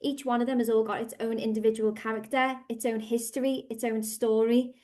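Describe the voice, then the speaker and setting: A woman's voice: on the high side, little pitch movement, fairly quick, dark tone. A woman with a Scouse accent, a language school director talking in a chatty, upbeat way on a podcast interview. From England. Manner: chatty, upbeat, repeats phrases.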